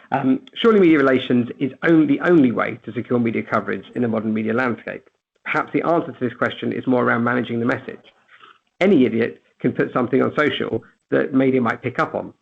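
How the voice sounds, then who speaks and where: Fast, gravelly man on a phone line. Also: medium pitch. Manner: brisk, with restarts. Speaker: webinar speaker. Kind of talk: presentation. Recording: tinny phone-line audio.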